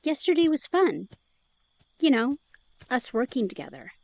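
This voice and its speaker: A woman's voice: sits high, very animated, a little slow, a little mellow, no room sound, full character voice. A woman playing an eager, playful, stubborn young woman in an intimate audio drama, perky and insistent. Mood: confused.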